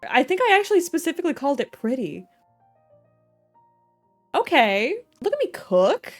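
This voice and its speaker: A woman's voice: high voice, very animated, moderate pace, soft background music. Woman livestreamer, joking and slangy. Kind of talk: casual game playthrough commentary.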